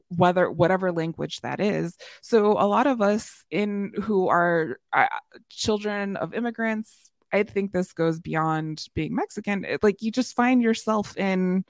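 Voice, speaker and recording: A woman co-hosting a podcast who recaps a TV episode in casual chat, telling it as a lively story and laughing easily. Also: mid-range voice, no echo, studio quality. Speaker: podcast co-host.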